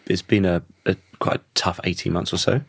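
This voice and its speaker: A man in his thirties with a croaky voice, a podcast guest talking thoughtfully and often restarting his sentences. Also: low voice, level tone, fairly quick, dry recording. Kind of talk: reflective conversational interview.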